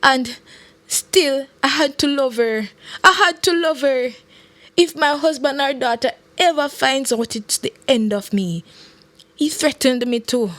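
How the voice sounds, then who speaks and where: A woman's voice: fairly high pitch, lively intonation, a little slow, dry recording. A woman voicing an anguished woman politician in a storybook, pleading desperately. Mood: anguished, afraid. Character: anguished woman government minister confessing a secret.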